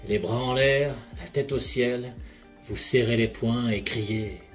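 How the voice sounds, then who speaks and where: A man leading a guided relaxation session in a calm, measured voice, speaking directly to the listener. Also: medium pitch. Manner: calm, measured second-person guiding. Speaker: hypnotherapist guide. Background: soft background music.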